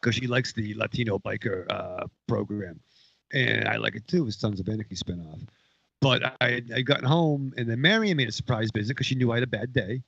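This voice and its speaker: Gravelly, bright-voiced man in his forties. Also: mid-range voice, fairly quick, dry recording, lo-fi sound. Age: 40s. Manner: rambling storytelling, heavy swearing. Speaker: podcast co-host. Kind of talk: casual chat.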